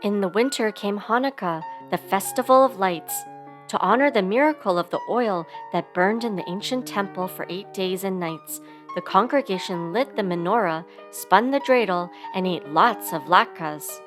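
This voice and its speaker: A woman's voice: medium pitch, normal speed. A woman reading a children's story aloud expressively, giving sound words theatrical emphasis, with instrumental music behind her. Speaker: storybook reader.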